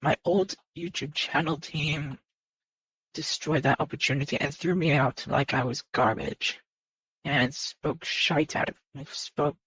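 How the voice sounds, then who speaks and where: A person speaking unscripted to viewers in a personal confession, earnest and halting, often repeating phrases and starting over. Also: mid-range voice, a bit bright, slightly rough. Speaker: video creator. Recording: muffled lo-fi mic in echoey room.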